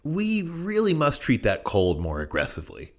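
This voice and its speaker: An actor playing a pushy, overfamiliar academic colleague in an audio play, breezy, talkative and patronizing. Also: male voice, medium pitch, wildly swooping pitch, moderate pace. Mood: dismissive.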